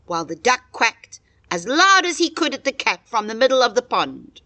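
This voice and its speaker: A woman narrating a scripted children's story in a dramatic, expressive storytelling manner. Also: on the high side, moderate pace, no room sound. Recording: music behind the voice.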